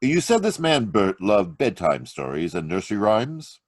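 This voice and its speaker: An actor playing a calm, deductive male amateur detective in an old-time radio drama, speaking in a measured, grave way over a video call. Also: fairly low pitch. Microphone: home mic on a video call.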